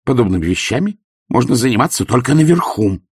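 A man voicing an imperious, scornful aristocratic old baroness who scolds in a haughty, sharp manner. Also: medium pitch, big pitch swings, quick pace, slightly rough, no echo, clean studio sound, voice pushed up. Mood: scolding. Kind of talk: audiobook character voice.

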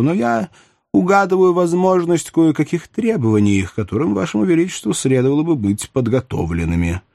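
A man voicing a wise, tactful court counsellor in a historical audiobook, measured, deferential and frank. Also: on the high side, creaky, a little mellow, dry recording, clean studio sound, voice pushed up. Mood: diplomatic. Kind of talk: dramatized audiobook reading of a historical novel.

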